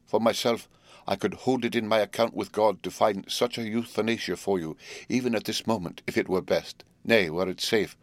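A man voicing an elderly male professor, speaking gravely, slowly and emphatically in a fatherly way. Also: fairly low pitch, steady tone, no echo, natural voice. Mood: tender solemnity. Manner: grave, slow and emphatic pleading.

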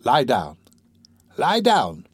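A man's voice: medium pitch, wildly swooping pitch, extremely slow, slightly rough, dry recording, exaggerated voice. A man voicing a sly old workhouse crone in a storybook, gossiping morbidly in a drawl. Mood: hushing.

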